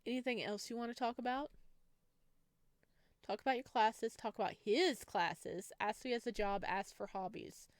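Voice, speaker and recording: A woman's voice: a little high, normal speed, no echo. A woman doing a visual novel let's play, reading the text aloud with giggly, gushing, exclaiming reactions. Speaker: gaming video host.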